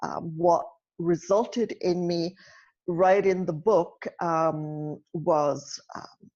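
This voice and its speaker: A woman's voice: lowish voice, steady tone, drawn-out words, a little mellow. A Welsh woman in her fifties, a nurse and author, answering interview questions candidly and with hesitant ums. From Wales. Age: 50s. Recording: dull, muffled line.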